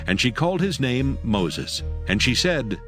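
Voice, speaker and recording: A man's voice: medium pitch, big pitch swings, unhurried. A man narrating scripture as storyteller in a dramatized audio production. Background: music.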